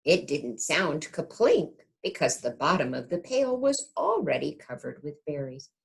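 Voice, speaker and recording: A woman with a low voice reads a children's picture book aloud. Her pitch moves in lively swoops, and she speaks like a kindergarten teacher, pausing to explain and put gentle questions to little listeners. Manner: asks the young listeners gentle tag questions.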